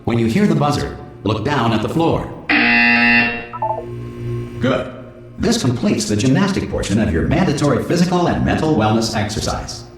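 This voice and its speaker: An actor playing a polite, officious automated announcer in a video game, reading formal instructions with bland cheer. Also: male voice, mid-range voice, very animated, moderate pace, pauses often, close to an everyday voice. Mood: bland, instructive. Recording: echoing public-address announcement over music.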